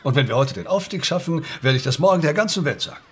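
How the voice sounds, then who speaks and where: An actor playing a defensive, blustering middle-aged football club boss with an emphatic manner in a screen drama. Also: male voice, a little high, animated, fast, fairly bright tone, husky. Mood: resigned, grudging. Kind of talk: dramatic film dialogue.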